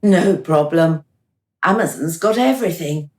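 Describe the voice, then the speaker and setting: An actress playing a composed, wry reincarnation official in a radio play. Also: female voice, deep voice, animated, measured pace, a little mellow. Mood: wry, flirtatious. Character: brisk, composed female reincarnation official, playfully flirtatious. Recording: roomy, echoing radio play recording.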